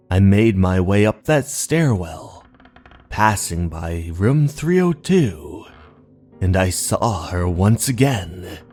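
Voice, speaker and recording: A man's voice: fairly low pitch, a little mellow. A man narrating scripted horror stories in a dramatic, suspenseful manner. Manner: slow, dramatic, suspenseful delivery. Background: background music.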